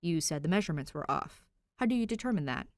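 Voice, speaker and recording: A woman's voice: fairly low pitch, expressive, fast talker, no echo, studio quality, close to an everyday voice. A woman voicing a sunny, friendly young man with an easygoing big-brother manner, in fan fiction dialogue. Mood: thoughtful. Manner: easygoing, good-natured.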